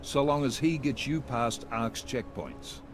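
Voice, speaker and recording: A man's voice: mid-range voice, measured pace, music in the background, natural voice. An actor playing a stern male agency director who gives firm, curt orders. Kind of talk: video game dialogue.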